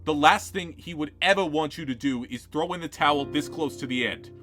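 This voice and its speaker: A man voicing a calm male staff member who talks low and reassuringly. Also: a little fast, faint music behind, natural voice.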